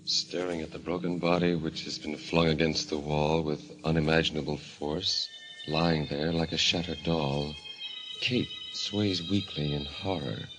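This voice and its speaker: Deep, ominous radio mystery narrator. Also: male voice, steady tone, noisy background. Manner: solemn, portentous, deliberate. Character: grave, ominous male narrator of horror mystery. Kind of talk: golden age radio narration. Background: dramatic music.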